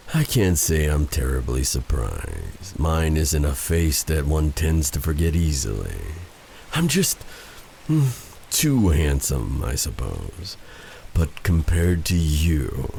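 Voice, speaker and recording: A man playing an exiled dark sorcerer in a fantasy ASMR audio roleplay, a menacing, flirtatious villain with a theatrical, teasing, deliberate delivery. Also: a bass voice, creaky, a little hiss or hum, heavily acted. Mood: playful, flirtatious.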